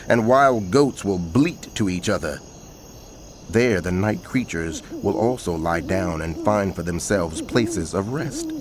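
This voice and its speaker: A man's voice: fairly low pitch, a little hiss or hum. A man narrating dramatized scripture in a solemn, emphatic, declamatory manner.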